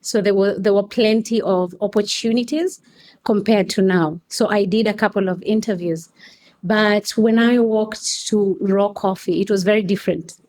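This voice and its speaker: Unhurried woman from Kenya. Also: mid-range voice, steady tone, a little mellow. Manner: unhurried, frequent restarts and fillers. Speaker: guest, coffee customer experience manager. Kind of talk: casual interview answers.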